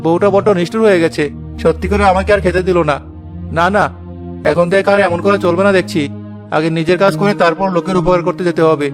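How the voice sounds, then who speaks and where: A man's voice: high voice, fairly even intonation, fast talker, over music, lightly acted. A man voicing a good-hearted, brave village husband in a cartoon. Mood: troubled, grumbling. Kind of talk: Bengali cartoon voice acting.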